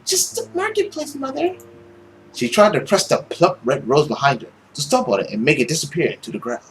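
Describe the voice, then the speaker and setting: A young man narrates a story game in an expressive, theatrical style. His pitch moves widely as he reads. The home recording carries a faint layer of noise. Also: fast talker, rough recording. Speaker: gaming video host. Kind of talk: dramatic read-aloud narration with reactions.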